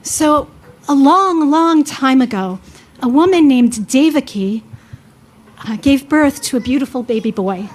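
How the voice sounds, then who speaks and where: A woman's voice: high voice, expressive, a little slow, darkish tone. A woman playing a polite flustered storyteller. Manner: polite and fussing, many thank-yous. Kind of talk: comedic stage skit.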